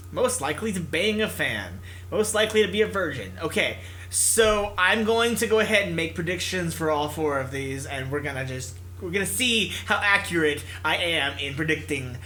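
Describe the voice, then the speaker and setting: A young-sounding gamer talking casually through a visual novel playthrough in a halting, self-interrupting way. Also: mid-range voice, animated, a bit bright, a little hiss or hum. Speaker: gaming video creator. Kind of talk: casual let's-play, reading a visual novel aloud.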